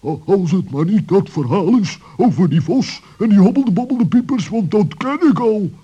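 A small nervous mole in a children's radio play, pitched high and swooping. The creature stammers fussily, on a dull old recording. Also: male voice, animated, normal speed, warm tone, a little hiss or hum.

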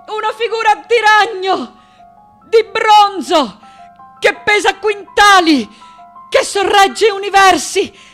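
A woman acting an intense, tormented elderly sculptor in a poetic radio monologue, with deliberate, dramatic phrasing and long pauses. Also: mid-range voice, mellow, natural voice.

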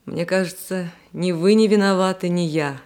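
A woman's voice: lowish voice, normal speed, darkish tone, lightly acted. Proud, wounded woman played by an actress, theatrical. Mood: wounded, defiant. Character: proud, wounded woman suspected in a murder case. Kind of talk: Russian radio play acting.

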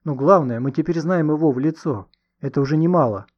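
A man voicing an eager boy detective in an audiobook, animated and colloquial. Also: a little high, fairly quick, breathy edge, dull audio. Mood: excited.

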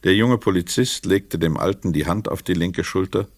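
A man with a Swiss German accent narrating a radio play in a measured, sober way. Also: low-pitched, no echo, clean studio sound.

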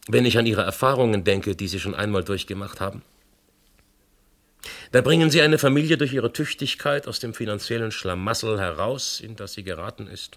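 An actor playing a shrewd, methodical police inspector in a German radio crime drama, reasoning insistently with a gravelly, husky voice. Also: male voice, fairly low pitch, a bit bright. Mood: pointed, knowing. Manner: deliberate, insistent, reasoning point by point.

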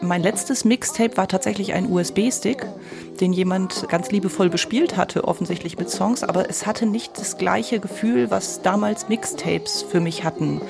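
A woman's voice: medium pitch, moderate pace, gravelly. A middle-aged woman sharing personal memories in an unscripted interview style. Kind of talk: personal reminiscence. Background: music.

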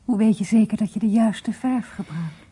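An actress playing a hesitant, caring amateur painter in a radio drama, softly questioning and self-effacing, with a breathy voice. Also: female voice, medium pitch, normal speed, slight background noise, close to an everyday voice. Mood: soft, pensive. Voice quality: breathy, often half-whispered. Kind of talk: naturalistic radio drama acting.

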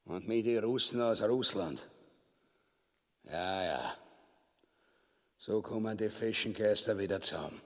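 A man's voice: lowish voice, unhurried, long pauses, natural voice. An actor playing a cowardly, boastful, foul-mouthed tyrant king in a farcical radio play, with an Austrian accent and a pompous manner. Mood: grumbling boastful. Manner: bombastic, grotesquely pompous, cursing. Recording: reverberant radio play studio hall.

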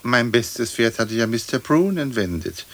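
An actor playing a dead aristocratic lord in a radio play, speaking formally in measured, old-fashioned, dry phrases. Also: male voice, medium pitch, lively intonation, a little hiss or hum. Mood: dry, stately. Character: aristocratic dead English lord, dignified, dry, long-winded.

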